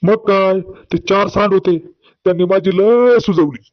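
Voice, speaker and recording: Man playing a high-pitched boastful old village man. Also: a little slow, highs cut off. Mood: storytelling. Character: boastful old village man, grieving, exasperated, telling tall tales. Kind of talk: comedy cartoon dialogue.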